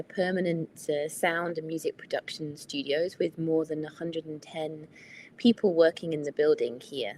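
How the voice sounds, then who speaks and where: A woman from the UK, managing director of a venue, speaking on a video call from a former factory hall, steady and informative with many fillers. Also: low-pitched, a little hiss or hum. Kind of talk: guest explaining on a webinar.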